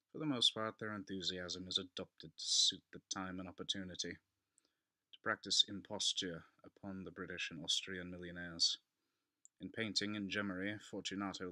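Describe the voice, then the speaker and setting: A man giving a theatrical, deliberate dramatic reading of a classic gothic story as narrator. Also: low-pitched, sharp tone, no echo.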